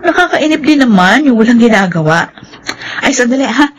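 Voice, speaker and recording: A woman's voice: a little high, expressive, brisk. A woman playing a young pregnant wife and caring older sister in a Filipino radio drama. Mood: cheerful, homely.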